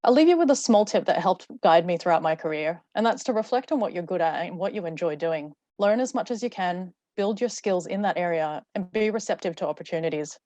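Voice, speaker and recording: A woman delivers a rehearsed webinar talk at a brisk clip over a video call. Her voice sits a little low, and the sound is dry and close. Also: expressive, warm tone, no room sound. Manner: fluent, rehearsed. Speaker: webinar speaker. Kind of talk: prepared talk.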